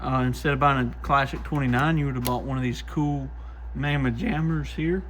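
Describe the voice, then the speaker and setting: A man's voice: medium pitch, normal speed, a bit bright, a little hiss or hum. A man giving casual hands-on testing commentary as a host, plainspoken and full of folksy slang. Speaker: host, CB radio shop technician testing used radios.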